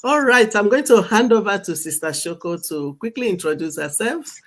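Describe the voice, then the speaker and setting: A woman's voice: mid-range voice, expressive, moderate pace. A woman hosting a live stream, handing over to each guest and repeating her thanks. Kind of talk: moderating introductions.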